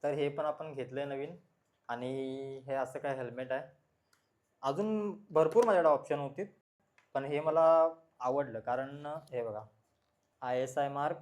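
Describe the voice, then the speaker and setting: A man's voice: medium pitch, darkish tone. A man talks informally and repetitively to his viewers, explaining as he shows things, like a vlog host. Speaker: vlogger, motorcycle channel host. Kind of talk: casual product showcase chat to viewers.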